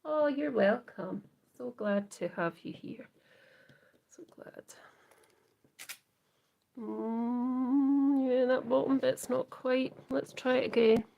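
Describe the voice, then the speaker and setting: A Scottish woman hosting a live crafting demo, chatting softly to viewers and often trailing into whispers as she works. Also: sits high, takes it slowly, vocal fry, pauses often. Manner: soft, often trailing into whispers while working. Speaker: craft livestream host.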